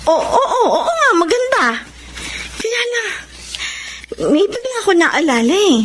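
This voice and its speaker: An actress playing a loving young adult daughter in a Filipino radio drama, open-hearted and easily wounded. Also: female voice, very high voice, big pitch swings, unhurried, heavily acted. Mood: light, hesitant. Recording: noisy radio drama track.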